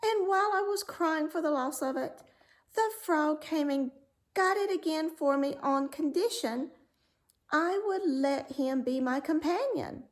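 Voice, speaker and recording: Woman playing a high-voiced, emotional young princess. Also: a little slow. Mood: pleading, upset. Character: young princess, king's daughter, emotional and pleading. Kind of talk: storybook read-aloud character voice.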